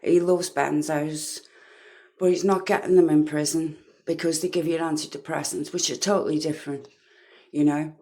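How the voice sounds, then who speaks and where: A woman's voice: low-pitched, fairly even intonation, normal speed, a little mellow. A woman giving casual true crime reaction commentary in a blunt, scornful way.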